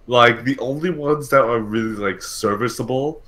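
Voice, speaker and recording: A man co-hosting a podcast, giving opinions in casual, halting speech peppered with "like". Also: medium pitch, fairly bright tone. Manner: halting, heavy use of "like". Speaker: podcast co-host. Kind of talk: casual chat, opinionated commentary.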